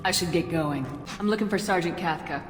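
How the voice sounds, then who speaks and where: An actress playing a brisk, composed squad leader in a video game, asking questions in a direct, businesslike way. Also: female voice, on the low side, faint music behind, natural voice. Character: brisk, composed woman leading an armed squad. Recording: roomy hall reverb, noisy ambience.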